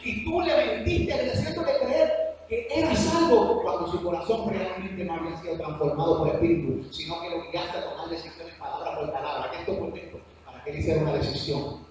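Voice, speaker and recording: A Cuban man preaches in a high voice, dwelling on each weighty phrase with earnest emphasis. He is heard from a distance across a big church hall, his words ringing in a long echo over faint room ambience. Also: a little fast, a little mellow, a little hiss or hum. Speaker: preacher teaching a church class. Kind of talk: sermon. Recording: echoey hall from far off.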